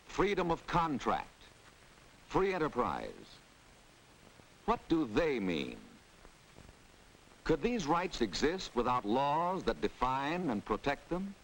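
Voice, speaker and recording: An American man playing a formal town treasurer, giving a rhetorical, deliberate speech in 1940s instructional film style. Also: medium pitch, a bit bright, heavily acted, low-quality recording. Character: formal town treasurer lecturing on economic principles. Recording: hissy 1940s film soundtrack.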